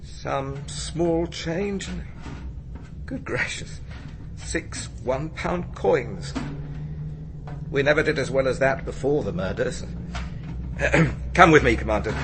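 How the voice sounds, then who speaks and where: An actor playing a mild, courteous, slightly hesitant parish priest in a radio drama. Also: male voice, on the high side, background noise. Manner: polite, hesitant.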